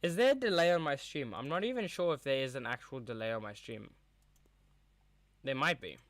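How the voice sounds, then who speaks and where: A man's voice: mid-range voice, lively intonation, a little fast, dry recording. A young man hosting a live match watchalong, chatting casually and calling the play as it happens into a streaming mic. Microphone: streaming mic with a cover on top.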